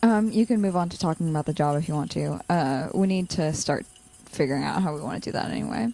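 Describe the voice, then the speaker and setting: A woman's voice: low-pitched, fast, creaky, mellow, rough recording. A young woman playing an anxious, sardonic college-student supervillain in a naturalistic audio drama. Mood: hesitant. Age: young adult.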